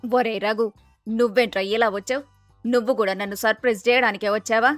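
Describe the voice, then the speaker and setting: A woman's voice: a little high, fairly even intonation, fairly quick, no room sound, faint music behind, lightly acted. A woman playing a doting, boastful middle-aged mother who scolds in colloquial exclamations, in a dramatised moral story. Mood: delighted.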